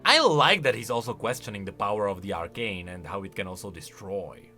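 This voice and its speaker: A man giving casual reaction commentary on video game lore, expressive and emphatic as he thinks aloud. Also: fairly low pitch, fairly quick. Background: music.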